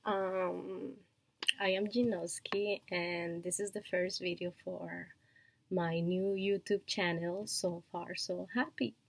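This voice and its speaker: A woman from Colombia with a Colombian Spanish accent, a Spanish native speaker, talking in a casual personal vlog, hesitant and full of fillers and soft laughs. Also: lowish voice, steady tone, slow, creaky, a little hiss or hum. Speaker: vlogger and online shop owner.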